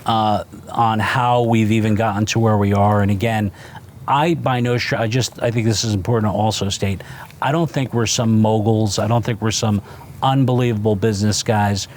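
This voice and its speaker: A man in his fifties with a slightly low voice, talking by a fire pit outdoors. He circles his points with halting fillers and restarts. Faint outdoor ambient noise sits under the recording. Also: steady tone, a little mellow. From New Jersey. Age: 50s. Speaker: restaurant founder guest. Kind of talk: casual fireside interview.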